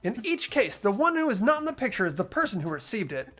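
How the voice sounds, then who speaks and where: Playthrough host, game voice acting, animated pitch, steady deduction. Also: fast, faint music behind. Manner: steady deductive reading, laughs between lines. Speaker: host and lead voice actor of a playthrough. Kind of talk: narrating and voicing a game protagonist, casual chat.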